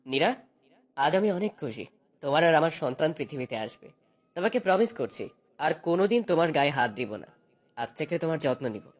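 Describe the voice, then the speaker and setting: A man's voice: on the high side, sing-song, brisk. A man playing a possessive, domineering young husband in a dramatized romantic story, speaking in a commanding, insistent way. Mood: tender.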